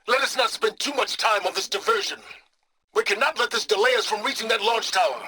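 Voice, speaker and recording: An actor playing a cold, calculating, aloof scholar in a video game, speaking formally with measured, condescending, sardonic phrasing. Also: male voice, high voice, bright, forceful tone, slight background noise. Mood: urgent combat shouting. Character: cold, calculating, aloof male scholar, middle-aged or older. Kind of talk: scripted video game voice acting.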